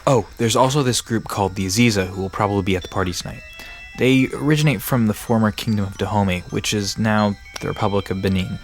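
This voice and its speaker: A man's voice: fairly low pitch, fast, close to an everyday voice. An actor playing a friendly, knowledgeable young man who explains folklore in an easygoing, reassuring way in an audio drama. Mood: relaxed, reassuring. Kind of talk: conversational audio drama acting. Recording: music and crickets behind voice.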